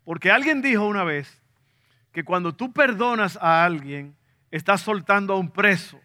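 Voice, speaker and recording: High, bright Dominican preacher. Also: male voice, lively intonation, normal speed. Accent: Dominican Spanish. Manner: emphatic preacher, repeats key points, asks the congregation questions. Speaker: pastor. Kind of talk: sermon.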